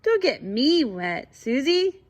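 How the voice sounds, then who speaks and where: Woman voicing an anxious, high little marmot girl. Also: big pitch swings, slow pace, exaggerated voice. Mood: pleading. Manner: fretful, pleading exclamations. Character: anxious young female marmot in a children's storybook.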